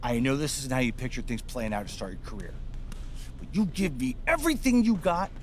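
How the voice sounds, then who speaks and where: An actor playing a supportive, wry American basketball coach who talks in a conversational, encouraging way in a video game scene. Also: male voice, medium pitch, sing-song, brisk, a little hiss or hum, close to an everyday voice. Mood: encouraging, firm. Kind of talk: naturalistic video game cutscene acting.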